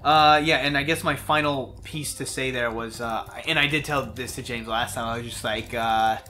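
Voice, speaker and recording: A man's voice: mid-range voice, fairly even intonation, normal speed, pushed and bright, a little hiss or hum. A young man co-hosting a let's play, chatting casually as he follows the game. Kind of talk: casual gaming chat. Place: indoors, voice-over on game footage. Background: faint game music.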